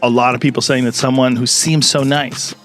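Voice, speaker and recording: A man's voice: mid-range voice, little pitch movement, fairly quick, a bit bright, faint music behind. A man chatting casually in conversation. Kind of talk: casual chat.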